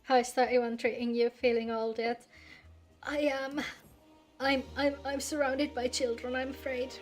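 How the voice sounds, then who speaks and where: A woman's voice: high-pitched, level tone, a little slow. A woman chatting casually on a live game stream, with music playing behind her voice. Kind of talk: casual livestream chat mixed with reading game dialogue aloud. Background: background music.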